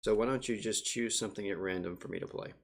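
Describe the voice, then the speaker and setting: Guy with a lowish voice, just chatting casually while playing a roleplaying game. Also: steady tone, quick pace, creaky. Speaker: player in a voice-driven role-playing demo. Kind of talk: casual spoken requests.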